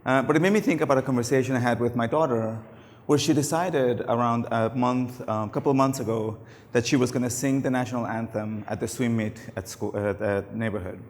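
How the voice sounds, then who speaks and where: A man's voice: mid-range voice, a little fast. A middle-aged man losing his voice, giving a casual, anecdotal conference talk on stage with many fillers. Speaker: conference speaker. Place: large conference hall. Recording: long hall reverb.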